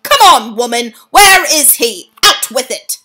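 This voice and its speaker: A woman voicing a blustering middle-aged English father who shouts and stammers in fury. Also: very high voice, animated, slow, noisy background, pitched above the performer's own voice, heavily acted. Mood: furious. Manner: loud, spluttering, stammering.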